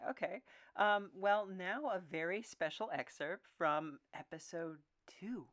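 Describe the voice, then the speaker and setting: Female narrator and co-host, slightly low register, highly varied intonation, informal presenting style. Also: a bit bright. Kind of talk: casual presenting and script narration.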